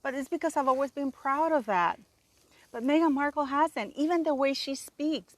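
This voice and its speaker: Canadian woman, channel host, emphatic and indignant, rambling, fairly high voice, dry close sound. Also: dry recording. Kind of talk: opinionated solo commentary.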